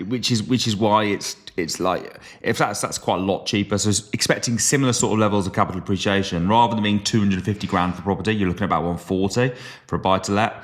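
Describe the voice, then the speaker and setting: A man from the West Midlands co-hosting a podcast in casual chat, animated and teasing, with sudden jumps up in pitch when he stresses a point. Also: quick pace. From West Midlands, England. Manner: teasing, joking banter. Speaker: podcast co-host.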